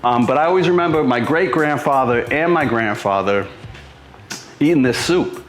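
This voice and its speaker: A man's voice: medium pitch, expressive, normal speed. A middle-aged American man from Boston hosting a home cooking show, talking informally and thinking aloud. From Boston, USA. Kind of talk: casual cooking demonstration. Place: indoors in a home kitchen. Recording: roomy echo with music behind. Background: music.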